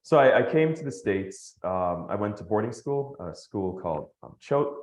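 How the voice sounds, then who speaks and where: A man from Nigeria and the Bahamas, a podcast guest telling his story in an animated way, laughing as he goes. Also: lowish voice, normal speed, echoey room. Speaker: guest, company founder. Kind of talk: podcast interview.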